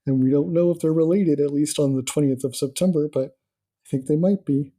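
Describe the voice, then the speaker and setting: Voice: medium pitch, a little fast. A podcast co-host chatting casually and eagerly, with frequent ums while recapping news. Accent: not Australian. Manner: excitable, many um fillers. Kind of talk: casual chat.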